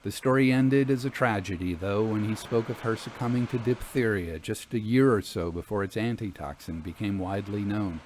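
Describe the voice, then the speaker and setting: A man reading scripted literary narration as a storyteller, with formal, measured phrasing. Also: fairly low pitch, a little mellow, slight background noise, low-quality recording.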